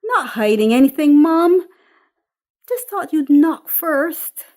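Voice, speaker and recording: A woman's voice: high-pitched, lively intonation, slow, a little mellow, voice pushed up. A woman voicing a timid twenty-nine-year-old daughter in a script reading, defensive, hesitant and exasperated.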